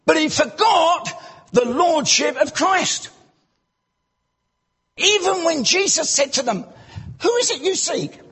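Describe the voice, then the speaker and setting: A man preaching a sermon in a church, animated, breaking into loud exclamations and asking the congregation rhetorical questions. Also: very high-pitched, slow pace, pauses often.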